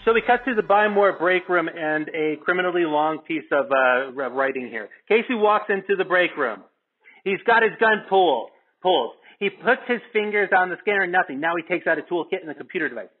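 Husky, high-voiced man reading directions. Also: animated, a little fast. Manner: informal, with asides. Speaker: stage-direction reader. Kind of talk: reading script directions aloud at a live table read. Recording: dull, lo-fi sound, faint music behind.

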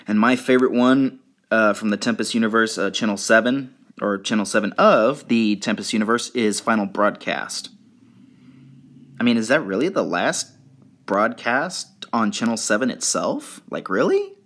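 Casual vlogger: male, fairly low voice, unscripted and slightly halting, presenting from behind the camera. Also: expressive, a bit bright. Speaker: video creator showing fan merchandise. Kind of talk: casual show-and-tell vlog.

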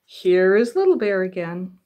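A woman's voice: medium pitch, very animated, unhurried, darkish tone. A middle-aged woman reading a picture book aloud to young children at home, expressively and with questions for the listener. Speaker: library director reading storytime. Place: small room at home.

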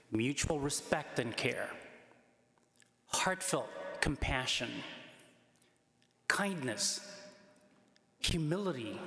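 Priest preaching in an echoing church. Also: male voice, mid-range voice, fairly bright tone. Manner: measured, earnest preaching with emphatic rises. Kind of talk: sermon. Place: large church sanctuary, at a lectern. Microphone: lectern microphone. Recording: echoey church with long reverb.

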